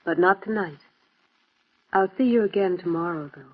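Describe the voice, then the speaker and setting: Actress as enigmatic femme fatale, muffled old radio. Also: female voice, on the low side, moderate pace, close to an everyday voice. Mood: calm, secretive. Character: mysterious, composed femme fatale. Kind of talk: 1950s radio noir acting. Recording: muffled vintage radio recording.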